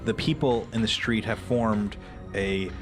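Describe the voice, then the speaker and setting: A man's voice: on the low side, fairly even intonation, unhurried. A man running a tabletop roleplaying game, describing scenes vividly and slipping into offhand rules talk. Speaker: game master. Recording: music behind the voice. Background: background music.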